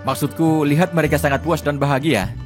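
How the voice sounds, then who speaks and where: A man narrating a travel documentary vlog in a scripted voice-over, reading facts in an even, steady way. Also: fairly high pitch, a little fast, soft background music.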